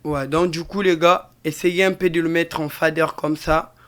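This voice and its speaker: A man with a Congolese French accent giving an informal music mixing tutorial in a halting, repetitive way, addressing viewers directly. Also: fairly high pitch, level tone, noisy background. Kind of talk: informal tutorial with on-the-spot demonstration.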